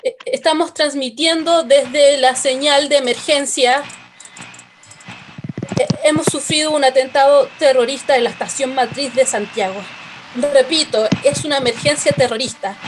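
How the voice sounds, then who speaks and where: An actress playing a radio announcer who reports an attack from an emergency station, then narrates calmly. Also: female voice, high-pitched, background noise, natural voice. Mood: shaken. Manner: measured, solemn reporting. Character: female emergency news announcer, shaken but composed. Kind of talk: radio drama acting.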